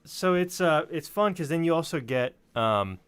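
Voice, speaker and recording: A man's voice: on the high side, animated, moderate pace, a bit bright, clean tone, dry recording. A man telling anecdotes in casual chat, rambling informally and swearing freely. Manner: informal, profane, rambling storyteller.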